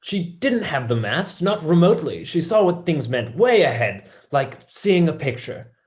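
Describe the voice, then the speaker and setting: A man's voice: a little high, big pitch swings, normal speed. A man playing an earnest young scientist in a staged play reading, talking in an explanatory way. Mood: earnest, absorbed.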